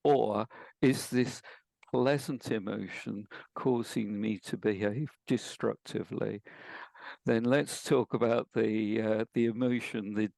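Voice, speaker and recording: An older man with an English accent, a coach explaining ideas in a measured way on a podcast interview. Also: fairly low pitch, steady tone, vocal fry, darkish tone, no echo, low-quality recording. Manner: measured, explanatory, builds points with examples. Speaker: guest, emotional intelligence coach.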